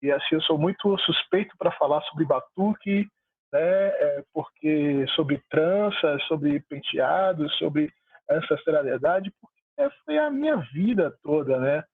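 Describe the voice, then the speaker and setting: A man's voice: fairly high pitch, animated, a little slow, no echo, narrow, tinny audio. A man from Salvador, a music producer talking in an online panel, heartfelt and rambling with many fillers. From Salvador, Bahia, Brazil. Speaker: music producer, debate guest.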